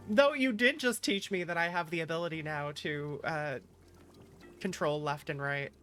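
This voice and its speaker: Woman, slightly low voice, unhurried, playful teasing streamer chat, music in the background. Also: fairly bright tone. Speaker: streamer, virtual YouTuber. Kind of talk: casual livestream chat with viewers.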